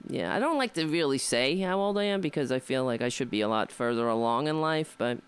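Comedian host, woman, 50s, rambling and wry, lively swooping pitch, swears. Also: fairly quick, smooth. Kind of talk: casual monologue.

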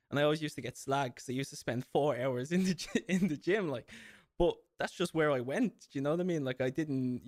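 An Irish man with an ordinary mid-range voice talks as a fitness coach guest on a podcast. His speech is chatty and loose, threaded with "you know" and "like." The sound is dry, with no room echo. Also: medium pitch. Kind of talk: casual podcast conversation.

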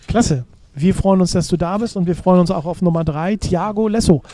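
High-voiced, dark-toned radio host. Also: male voice, moderate pace, no echo. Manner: upbeat, reads sponsor copy, asks short prompts. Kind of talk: radio interview.

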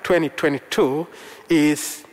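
A man from Uganda whose first language is Luganda, preaching a sermon in slow, measured short phrases. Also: on the high side, steady tone. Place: church. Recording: long reverb of a large hall.

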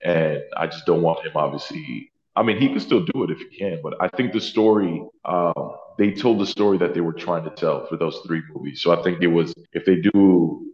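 Deep-voiced man, earnest interview guest. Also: moderate pace, hardly any pauses, darkish tone. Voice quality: deep baritone. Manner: earnest, thoughtful, self-correcting. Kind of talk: casual interview chat. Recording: roomy, slightly dull sound.

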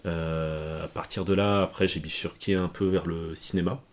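A man's voice: deep voice, fairly even intonation, normal speed. A man born in Portugal, a guest in an interview, talking hesitantly with many fillers and restarts. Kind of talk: conversational interview.

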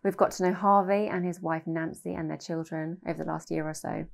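A British woman addresses a congregation as its host. Her voice is fairly low and often settles into a gravelly creak. She speaks earnestly and with measure, as if from prepared notes. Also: fairly even intonation, brisk. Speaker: church host introducing a guest.

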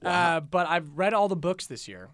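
High-pitched, enthusiastic man, clean sound. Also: big pitch swings, normal speed, a bit bright, dry recording. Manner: enthusiastic, gushing. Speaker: podcast guest. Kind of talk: casual comedic chat.

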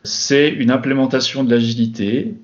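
A man talking about his work as a Scrum Master in a relaxed podcast chat, thinking aloud with many pauses and restarts. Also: medium pitch, highs cut off. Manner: reflective, many hesitations and restarts. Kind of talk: casual podcast conversation.

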